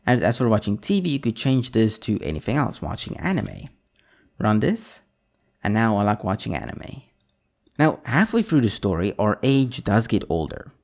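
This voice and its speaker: A man's voice: lowish voice, gravelly, darkish tone. A man teaching programming over a screen recording, reading code aloud as he types it. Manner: talks while typing code, reads out strings. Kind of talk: screen-recorded coding tutorial.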